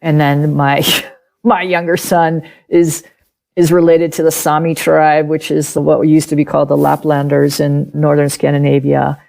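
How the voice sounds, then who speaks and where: A woman's voice: low-pitched, normal speed, gravelly. A middle-aged woman whose first language is German, a guest telling her own story candidly and animatedly in an interview. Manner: candid, animated, acts out past conversations and laughs often. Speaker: author and podcast guest.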